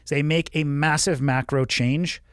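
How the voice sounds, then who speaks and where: A man's voice: a little high, steady tone, moderate pace, a bit bright, smooth, no room sound, studio quality. A man in his forties hosting a podcast, reading a listener's email and answering with frank, coaching advice. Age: 40s. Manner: frank, coaching, blunt at times. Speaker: podcast host.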